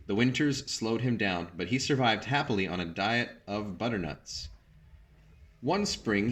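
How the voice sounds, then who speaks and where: Male storybook reader, lowish voice, teacherly and measured, narrating with short explanatory asides. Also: a bit bright, slightly rough. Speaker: teacher reading a picture book aloud. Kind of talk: storybook read-aloud with brief asides.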